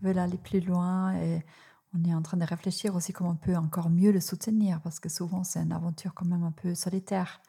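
Non-native woman host, husky, dark tone, encouraging. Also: lowish voice, little pitch movement, a little fast. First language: not French. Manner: warm, encouraging, with frequent affirmations. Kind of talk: podcast interview.

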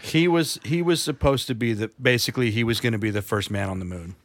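A man's voice: mid-range voice, dry recording. A man chatting casually as a podcast host, rambling and restarting his sentences often. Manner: rambling, halting with many restarts. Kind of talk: casual chat.

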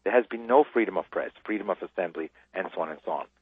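A man's voice: sits low, quick pace, breathy edge, no echo. A man from Austria, a guest speaking emphatically on a radio interview over the phone. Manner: emphatic, preaching conviction. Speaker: guest author. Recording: tinny phone line.

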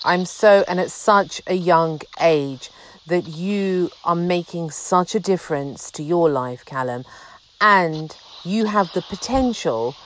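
A woman hosting a podcast speaks at a very slow, drawn-out pace, with emphatic and warm encouragement. Her voice sits a little low, with faint noise behind it. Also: low-quality recording. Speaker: podcast host. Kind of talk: interview conversation.

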